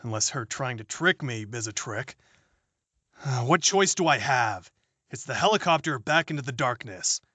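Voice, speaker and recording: A man's voice: mid-range voice, expressive, normal speed, pushed and bright, dry recording. An actor playing a jittery, self-serving male politician on the run, muttering to himself in an audio drama. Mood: suspicious.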